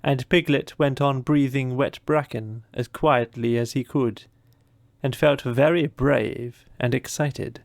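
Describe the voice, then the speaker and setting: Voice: mid-range voice, gravelly, darkish tone, dry recording, clean studio sound. A narrator reading a children's bedtime story in a scripted storytelling style. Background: music.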